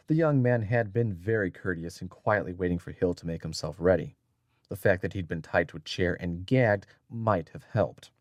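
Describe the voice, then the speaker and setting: Male narrator, lowish register, dry sound, deliberate dramatic audiobook storytelling. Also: darkish tone, no room sound.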